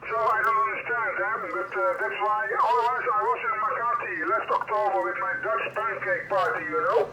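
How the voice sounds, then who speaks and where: A man speaking as an amateur radio operator, chatting informally and haltingly in non-native English phrasing. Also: high-pitched, steady tone. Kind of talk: casual two-way radio chat. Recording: very noisy two-way radio reception. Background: loud radio noise.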